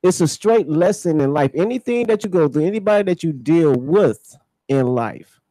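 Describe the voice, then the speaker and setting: Male radio host, mellow voice a bit on the high side, close dry sound, reflective and emphatic. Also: animated, normal speed, dry recording, rough recording. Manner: emphatic, repeats phrases for weight. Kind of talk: reflective, conversational radio talk. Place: radio talk show.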